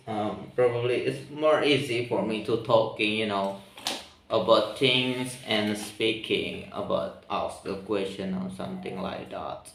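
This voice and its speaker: A man with an Indonesian accent, speaking English as a second language, talking slowly and haltingly, searching for words with many pauses and ums. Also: on the low side, vocal fry. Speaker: vlogger practicing spoken English. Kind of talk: reflective solo monologue. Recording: roomy echo, mic at a distance.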